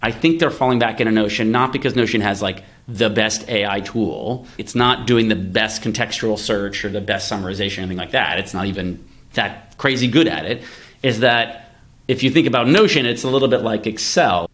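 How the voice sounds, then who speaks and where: Male podcast host, slightly deep voice. Conversational explainer who reasons out loud and asks rhetorical questions. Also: brisk. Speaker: podcast host, venture investor. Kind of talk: casual conversational tech discussion.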